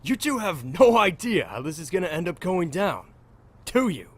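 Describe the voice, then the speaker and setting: Man playing a brash, animated young survivor. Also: sits high, moderate pace, breathy edge, exaggerated voice. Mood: suspicious, confrontational. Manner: confrontational, sarcastic, blunt. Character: brash, suspicious young male outbreak survivor. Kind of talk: audio drama acting.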